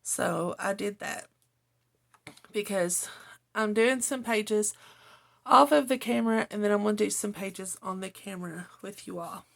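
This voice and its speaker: A middle-aged woman hosts a craft vlog in a slow, creaky voice that sounds a little hoarse. She rambles along in a homely, casual way, as if chatting with her viewers. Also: medium pitch, a bit bright. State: slightly hoarse. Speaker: host of a craft channel. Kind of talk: casual chat to viewers while journaling, partly reading own notes.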